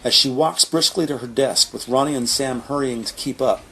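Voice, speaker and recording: A man narrates an audio drama from a script, describing people and scenes. His voice sits at a medium pitch. There is faint ambient noise behind it. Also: normal speed, bright, forceful tone, rough recording.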